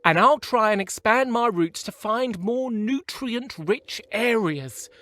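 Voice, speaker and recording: A man's voice: high-pitched, expressive, slow, pushed and bright, exaggerated voice. A man voicing bossy, helpful plant roots that advise matter-of-factly and are easily crossed, in an audio play. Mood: advising.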